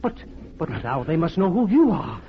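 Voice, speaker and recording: A noisy, muffled old radio recording of an anxious gentleman professor. His voice is high and swings up and down, excitable yet proper and educated, in vintage radio acting style. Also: normal speed. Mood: alarmed.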